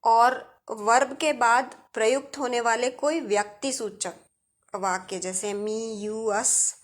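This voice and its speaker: A woman's voice: on the high side, fairly even intonation, slow pace. A woman with an Indian accent giving a step-by-step grammar lesson as a teacher, addressing her students directly.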